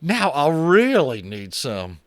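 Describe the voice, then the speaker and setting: A man voicing a jittery, hard-drinking tiny cowboy with a high, strained, twangy voice and frontier slang. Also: wildly swooping pitch, slow, no room sound, studio quality, heavily acted. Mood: eager. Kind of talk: audiobook character voice.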